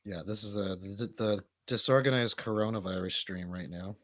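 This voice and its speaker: A man's voice: on the low side, moderate pace, a bit bright, no echo, clean studio sound. A man hosting a livestream, chatting casually in an informal, bantering way. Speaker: livestream host.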